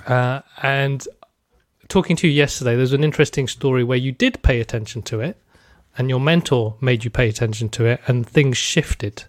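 A man's voice: mid-range voice, moderate pace, dry recording. A man hosting a conversational interview, framing his questions at length in a thoughtful way. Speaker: interview host.

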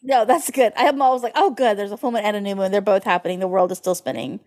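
A woman with an average-pitched voice co-hosts a chatty podcast. She speaks casually, full of colloquial phrasing. Her delivery is playful and wry. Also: quick pace, a little mellow. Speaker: podcast co-host. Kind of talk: casual chat about horoscopes.

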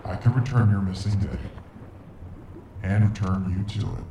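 A man playing a grave, formal interdimensional being in an audio drama, speaking with slow, archaic deliberation. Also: low-pitched, roomy sound, noisy background, close to an everyday voice. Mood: solemn. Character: male nine-foot interdimensional void being, grave, solemn, ancient.

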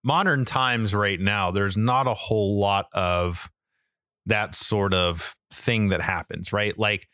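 A man's voice: lowish voice, slow pace, bright, forceful tone, no echo, studio quality. A man co-hosting a podcast, chatting casually and informally with emphatic repetition. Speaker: podcast co-host. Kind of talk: casual discussion chat.

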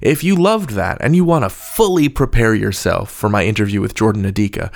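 A man's voice: fairly low pitch, expressive, a little fast, vocal fry. A man hosting a podcast from a script, playful, wry and a little theatrical. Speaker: podcast host.

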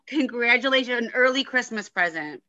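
A woman's voice: a little high, animated, brisk, pushed and bright. A woman hosting a podcast as an interviewer and coach, speaking on a slightly muffled recording. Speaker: podcast host and divorce coach. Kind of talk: podcast interview with a scripted intro.

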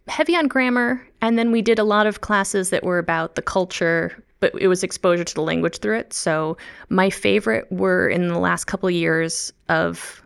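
A middle-aged woman talks casually in an interview. Her voice sits on the low side with a gravelly, fry-tinged edge. She laughs often and trips into restarts and ums as she explains her work. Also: steady tone, moderate pace. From Midwest United States. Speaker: co-host, language researcher.

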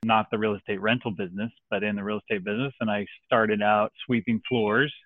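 A man from Texas speaking as a real estate investor guest on a podcast, relaxed and joking. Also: on the low side, a little fast, no room sound, narrow, tinny audio. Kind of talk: podcast interview.

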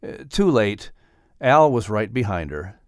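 A man's voice: on the low side, big pitch swings, measured pace, clean tone, dry recording, clean studio sound. A man announcing and narrating a children's story in an upbeat, scripted style.

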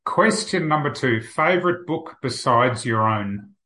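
A man hosts an interview podcast with a bright, clear voice. He keeps things upbeat and moving with brisk introductions and quick questions. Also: mid-range voice, expressive. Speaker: podcast host. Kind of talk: interview hosting.

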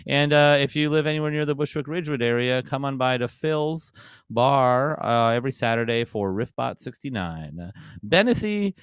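A man's voice: medium pitch, lively intonation, moderate pace, smooth, dry recording. A man co-hosting a podcast, chatting casually and laughing often at the jokes. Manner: laughing, joking. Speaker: podcast co-host.

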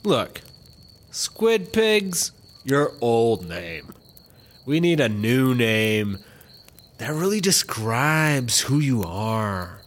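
A man's voice: medium pitch, big pitch swings, extremely slow. A man playing a scheming, blustering American ex-cop in a comedic audio drama, with an American accent. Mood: casual, teasing.

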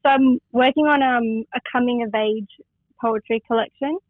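Young woman from western sydney, phone interview, tinny line, bright high voice, dry. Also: fairly even intonation, moderate pace, no room sound. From Western Sydney, Australia. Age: young adult. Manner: enthusiastic, reflective with fillers. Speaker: guest poet.

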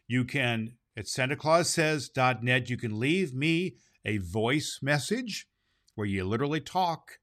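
A man's voice: mid-range voice, a bit bright. A man playing a jolly, boastful old Santa Claus, hosting a children's holiday show in an emphatic, conversational way and repeating words for effect.

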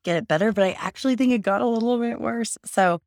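A sleepy, hoarse woman in her thirties hosting a podcast, rambling in a chatty way and circling back to her point. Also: on the high side, fast, dry recording, studio quality. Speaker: podcast host. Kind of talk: casual solo podcast talk.

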